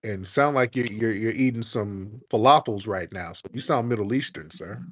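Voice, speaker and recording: A man's voice: lowish voice, moderate pace, fairly bright tone. Mocking, combative male stream host. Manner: mocking, combative, repeats "sir". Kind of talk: confrontational call-in show.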